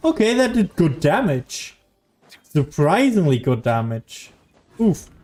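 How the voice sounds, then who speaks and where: A woman's voice: sits low, sing-song, slow pace, dark tone. A woman giving casual gameplay commentary, understated and musing to herself as she plays. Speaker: game streamer.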